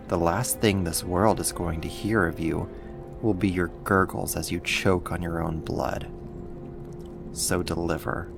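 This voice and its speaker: A man's voice: deep voice, creaky, heavily acted. A man playing a sadistic, mocking villain and kidnapper in an ASMR roleplay, with a controlling, condescending manner. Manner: slow, taunting, controlling, condescending.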